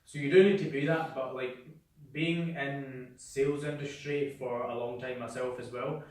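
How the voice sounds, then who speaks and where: A man's voice: mid-range voice, steady tone, normal speed, a little mellow. Man, blunt sales coach, swears a lot, acts out voices, echoey room, distant mic. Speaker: sales trainer. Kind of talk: workshop talk. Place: indoor room with a small group.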